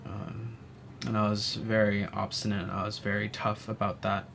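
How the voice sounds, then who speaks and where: A young man tells his own story in a low, fairly flat voice, stopping often to say "um" and start again. He sounds soft and thoughtful. Faint ambient noise sits under the recording. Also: normal speed, gravelly. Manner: soft-spoken, many "um"s and restarts. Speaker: interviewee. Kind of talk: reflective personal storytelling.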